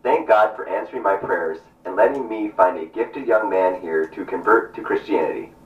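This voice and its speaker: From far off in an echoey room comes a man acting an earnest, devout missionary doctor from a puppet play. The voice is low and he declaims formally. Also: moderate pace, close to an everyday voice. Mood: warm, resolute. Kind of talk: stage puppet show acting. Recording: distant, roomy, muffled stage recording with music.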